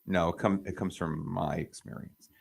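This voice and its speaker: A man hosting a casual chat, delivering jokes in a deadpan way. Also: deep voice, moderate pace, creaky, husky. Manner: deadpan joke teller.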